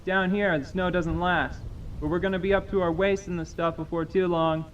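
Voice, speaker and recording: A woman voicing a gruff, wry male scout with a drawling manner in an audio drama. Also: fairly low pitch, steady tone, normal speed, recorded from a distance, muffled recording, a little hiss or hum, natural voice. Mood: wry warning.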